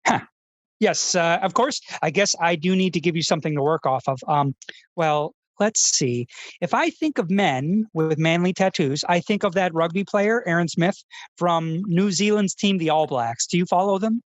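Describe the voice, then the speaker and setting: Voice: mid-range voice, normal speed, highs cut off, lightly acted. A performer playing an earnest, self-serious male public radio host who speaks in a pensive, pompous, awkwardly polite way, as in a scripted feature parody.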